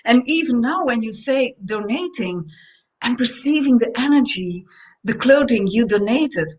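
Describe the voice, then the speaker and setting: Slow-paced, higher-voiced woman from Belgium. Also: animated, darkish tone, rough recording. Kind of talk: reflective spiritual conversation.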